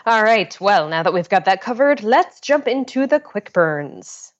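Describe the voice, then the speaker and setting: A woman's voice: medium pitch, very animated, unhurried, lo-fi sound. A woman co-hosting a podcast in casual, easygoing banter, recorded on a portable mic plugged into a phone. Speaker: podcast co-host. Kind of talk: casual chat.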